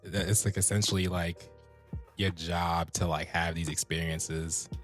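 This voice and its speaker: A man with a deep, low voice hosts a loose, casual interview, stringing his questions together with plenty of "like." Faint music plays behind him. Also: a little slow. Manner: relaxed, loaded with "like" fillers. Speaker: interview host. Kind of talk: casual chat.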